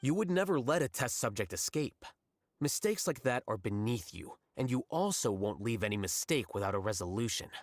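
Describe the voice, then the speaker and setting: An actor playing a rational, blunt young scholar in a video game, speaking in a measured, detached, matter-of-fact way. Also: male voice, mid-range voice, sing-song, no echo, clean studio sound, natural voice. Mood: cool, blunt. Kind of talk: English video game voice acting.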